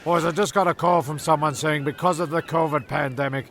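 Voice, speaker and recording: A man playing a flustered, touchy narrator among castaways in a comedy radio play, halting and repeating himself. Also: on the high side, steady tone, gravelly, fairly bright tone, slight background noise. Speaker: narrator and character.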